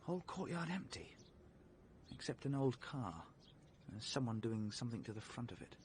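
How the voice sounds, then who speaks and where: An actor plays a self-doubting British fugitive in a vintage radio play, questioning himself in agitation. His voice is highly animated, over a clearly noisy old recording. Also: moderate pace, lightly acted. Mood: anxious.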